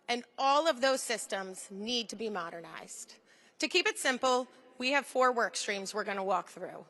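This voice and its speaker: Female presenter, bright, clear explanatory read, hall reverb. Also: a little high. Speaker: program presenter. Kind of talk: scripted public presentation.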